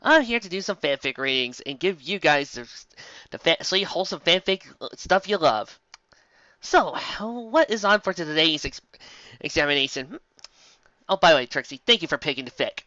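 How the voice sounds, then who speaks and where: Bright, theatrical comedy host, slightly lo-fi. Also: mid-range voice, lively intonation, moderate pace, dry recording. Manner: theatrical, exclamatory, sarcastic asides. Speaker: host of a fanfic reading show. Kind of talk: comedic dramatic reading with mocking commentary.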